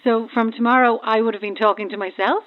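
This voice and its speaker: A woman's voice: a little high, steady tone, fast. A woman playing a breezy, self-deprecating boat passenger with an Irish accent in a horror audio drama, heard through a radio filter. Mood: breezy, chatty. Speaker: caller from a stranded boat. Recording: thin, narrow-band marine radio call.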